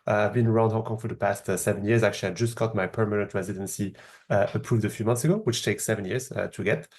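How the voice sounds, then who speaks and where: A man in his thirties from Morocco with a French accent, answering interview questions in a measured, explanatory way with frequent hesitations. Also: lowish voice, a little mellow. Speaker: podcast guest, startup founder.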